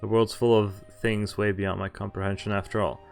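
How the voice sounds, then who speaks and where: Male game streamer, lowish voice, casual read-aloud with self-corrections over faint music. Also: flat delivery, a little fast, gravelly. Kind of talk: reading visual novel text aloud with casual asides.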